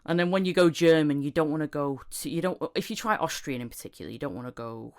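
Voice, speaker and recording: Low-voiced Yorkshire-tinged woman host. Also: fast talker, a little mellow. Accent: Northern English, Yorkshire-tinged. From Northern England. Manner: chatty, rambling with many restarts, laughs often. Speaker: podcast host. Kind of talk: casual chat.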